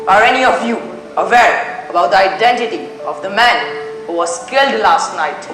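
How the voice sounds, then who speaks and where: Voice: mid-range voice, lively intonation, lightly acted. Young student playing a methodical detective on an echoey stage. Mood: questioning, formal. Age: teenager. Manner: deliberate, questioning, formal, with French courtesies. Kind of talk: school stage play acting. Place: indoor school stage. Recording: echoey school stage recording with music.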